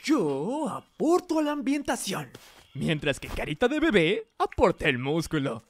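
A man's voice: high-pitched, wildly swooping pitch, measured pace, full character voice. A man voicing a flamboyant, mischievous young cat bootlegger and poet in a cartoon dub, theatrical and teasing, with a Mexican Spanish accent. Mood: playful. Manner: grandiloquent, teasing. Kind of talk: theatrical animated fandub. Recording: music behind the voice.

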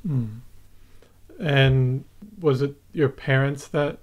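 A man's voice: mid-range voice, steady tone, takes it slowly. A man hosting a local podcast, reading a formal, wordy introduction and then asking questions. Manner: formal, ornate wording. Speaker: podcast host. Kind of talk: scripted introduction and interview questions.

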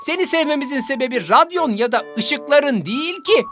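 Childlike, encouraging best friend for kids' animation, extremely high and animated. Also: female voice, normal speed, music in the background. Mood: comforting. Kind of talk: children's cartoon dubbing.

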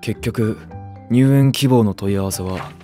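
Guy playing subdued serious preschool teacher, slow relaxed voice, audio drama. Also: on the low side, faint music behind, natural voice. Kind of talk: Japanese audio drama acting.

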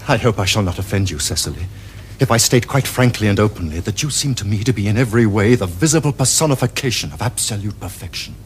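An actor playing an ardent young Victorian gentleman suitor in a period comedy, with earnest, flowery, theatrical Received Pronunciation. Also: fairly low pitch, lively intonation, fast talker, a little hiss or hum, lightly acted. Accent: English Received Pronunciation. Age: young adult. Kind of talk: period stage comedy acting for television.

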